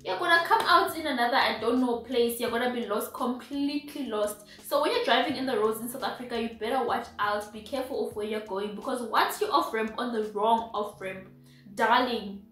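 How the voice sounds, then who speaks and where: A young woman from Zimbabwe vlogging casually to camera, chatty and animated with slangy asides. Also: a little high, fairly quick, distant mic, faint music behind. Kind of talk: casual vlog chat to camera. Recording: echoey room, voice off-mic.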